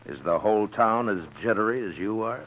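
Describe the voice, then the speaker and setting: An American actor playing a steady, skeptical middle-aged marshal in a 1950s radio western, speaking with dry, unhurried authority. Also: male voice, fairly low pitch, close to an everyday voice. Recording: muffled, noisy 1950s radio recording.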